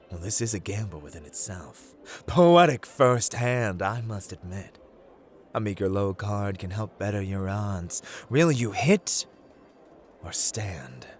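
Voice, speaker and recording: Guy voicing a smug god of luck dealing cards, asmr roleplay, slow and teasing, hushed bits, music behind. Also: lowish voice. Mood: coolly teasing. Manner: theatrical, teasing, deliberate, dropping into hushed murmurs. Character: playful, taunting male god of luck, smooth-talking card dealer.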